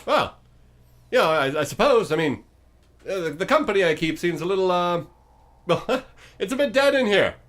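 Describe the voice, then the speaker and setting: A high, loud and cheerful ancient skull oracle for a tabletop fantasy game. It chats away in an offhand, casual manner, far lighter than a giant undead thing would suggest. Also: male voice, sing-song, moderate pace, long pauses. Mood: chatty, musing. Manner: cheery, offhand, hesitant chattiness.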